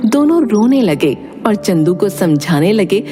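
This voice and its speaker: A woman's voice: medium pitch, big pitch swings, unhurried, music in the background. A woman narrating a scripted dramatic tale as the storyteller.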